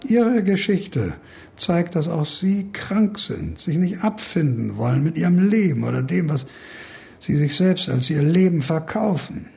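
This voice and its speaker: Older sick professor in a radio play, gravelly, very animated, rambling and brooding. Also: male voice, on the high side. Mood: bitter, musing. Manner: rambling, emphatic monologuing with musing pauses. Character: ailing older professor, brooding, cantankerous, philosophizing. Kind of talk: radio drama dialogue.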